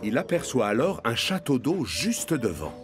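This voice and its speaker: Animated male children's cartoon narrator. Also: mid-range voice, normal speed. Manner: expressive storyteller delivery. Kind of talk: scripted storytelling for a children's cartoon. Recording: music behind the voice.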